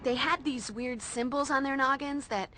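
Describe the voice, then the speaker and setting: An actress playing a bossy, sarcastic teenage demon fighter with an American accent in a video game, blunt and slangy. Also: female voice, high-pitched, normal speed, bright, forceful tone, faint music behind. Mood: wry, thoughtful.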